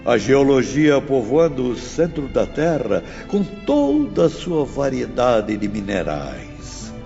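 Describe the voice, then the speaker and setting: Man as a gravelly, solemn spirit teacher. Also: mid-range voice, wildly swooping pitch, slow pace, mellow, full character voice. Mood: reverent. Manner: declamatory, grandiose. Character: solemn, awestruck male spirit teacher lecturing on creation. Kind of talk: dramatized audiobook lecture. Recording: muffled, long hall reverb, faint music.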